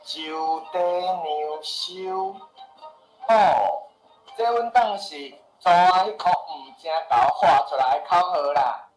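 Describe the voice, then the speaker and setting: An actor with a Taiwanese accent playing a skeptical, boastful house seller who grumbles and argues in a radio drama. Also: male voice, fairly high pitch, sing-song, slow pace. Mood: scoffing. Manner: scoffing, exclamatory, argumentative. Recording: roomy echo with music behind.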